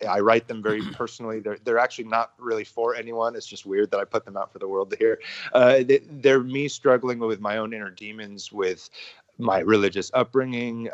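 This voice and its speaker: A man's voice: on the low side, dry recording. A man, a podcast guest and musician, talking casually with many restarts, chuckles and swear words. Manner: halting, repeats words, chuckles, swears freely. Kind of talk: casual interview talk. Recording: muffled lo-fi sound.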